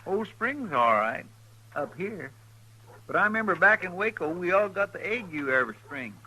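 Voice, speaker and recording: An actor playing a talkative, mildly complaining frontier sidekick with an in an old radio western. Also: male voice, high voice, very animated, normal speed, full character voice, low-quality recording. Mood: folksy grumbling. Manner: folksy, rambling, complaining. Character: folksy, mild, talkative frontier deputy sidekick. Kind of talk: 1950s radio western acting. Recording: bright, hissy old radio recording.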